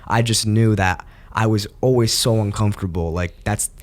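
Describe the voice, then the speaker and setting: Young man, low voice, podcast guest, earnest, reflective, halting. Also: fairly even intonation. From United States. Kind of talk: casual interview.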